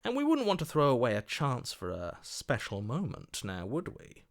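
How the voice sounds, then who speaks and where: A man's voice: medium pitch, huge pitch swings, moderate pace. A man playing a fickle, self-serving opportunist in a video game, with an ingratiating, wheedling manner. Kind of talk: video game character acting.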